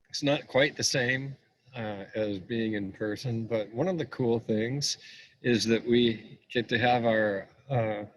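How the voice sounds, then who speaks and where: A man's voice: mid-range voice, low-quality recording. A man opening an online meetup, welcoming people in a friendly, rambling way with fillers, over a video call. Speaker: meetup organizer.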